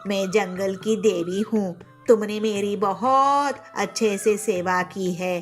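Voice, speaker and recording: Woman voicing an old bird goddess from a cartoon, kindly, slow, low and gentle, soft music behind. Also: natural voice.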